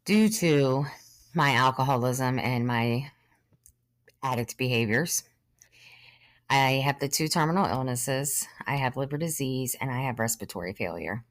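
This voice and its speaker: A woman in her thirties, a podcast host sharing her own story in an earnest, measured way, sometimes short of breath and pausing. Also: rumbling low, animated, dry recording, clean studio sound. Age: 30s. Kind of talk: personal testimony monologue.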